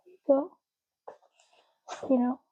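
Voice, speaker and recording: A woman speaking softly and unhurriedly in an ASMR roleplay, playing a chatty, matter-of-fact official in short murmured phrases. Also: slow, dull audio. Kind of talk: soft-spoken ASMR roleplay.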